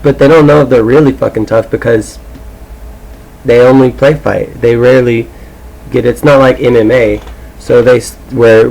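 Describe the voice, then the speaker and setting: A man's voice: medium pitch, vocal fry, darkish tone, rough recording. Irreverent man in casual read-aloud mode. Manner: irreverent, foul-mouthed. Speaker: amateur audiobook reader. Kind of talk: casual rambling talk and reading aloud.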